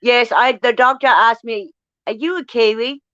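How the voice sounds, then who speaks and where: A woman's voice: a little high, moderate pace, dry recording. Blunt Australian woman, dry lo-fi sound. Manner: blunt, swears freely, animated storytelling. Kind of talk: casual chat.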